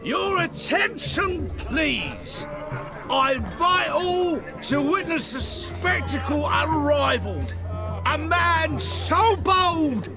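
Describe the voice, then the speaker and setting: A man's voice: very high voice, expressive, very slow, over music, heavily acted. An actor playing a drunken, cowardly fire-eating jester in a fantasy game, showy and tipsy in his delivery. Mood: grandiose. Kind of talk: fantasy video game acting.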